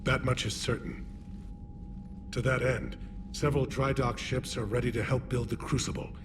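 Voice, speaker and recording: An actor playing an honorable alien military leader in a video game, formal and stately. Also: male voice, steady tone, normal speed, close to an everyday voice. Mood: formal, resolute. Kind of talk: video game cutscene acting. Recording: clear rumbling noise behind.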